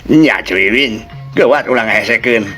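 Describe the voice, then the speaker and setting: A man's voice: mid-range voice, measured pace, pushed and bright, faint music behind, voice pushed up. A man voicing a bossy, scheming village man in a folk tale, coaxing and exclaiming. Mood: coaxing, pushy.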